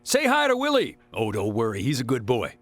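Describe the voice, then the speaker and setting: A man's voice: medium pitch, huge pitch swings, brisk, no echo, soft background music. An actor playing a jovial, talkative travelling salesman in a video game cutscene, with a folksy, chatty manner. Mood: cheerful, relaxed. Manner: chatty, folksy, chuckling, exclamatory.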